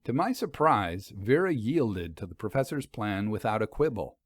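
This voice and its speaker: A man narrating a scripted first-person story in a measured, literary manner. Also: fairly low pitch, expressive, no echo, studio quality.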